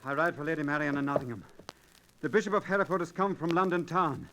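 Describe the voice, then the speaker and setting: Urgent young messenger, noisy recording. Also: male voice, fairly high pitch, fast, bright, forceful tone. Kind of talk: 1940s radio drama acting. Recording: very noisy old radio recording, clicks.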